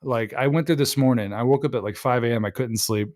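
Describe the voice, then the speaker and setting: A man's voice: medium pitch, fast, darkish tone, dry recording, studio quality. A young man with an Ohio accent hosting a livestream, telling rambling, profane stories in casual chat on his microphone. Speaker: livestream host. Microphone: personal microphone.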